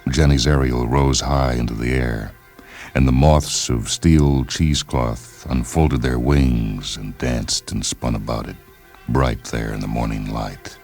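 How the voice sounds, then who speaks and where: A man narrating a science fiction short story in a measured, literary storytelling manner. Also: a bass voice, fairly even intonation, a little mellow. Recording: music behind the voice.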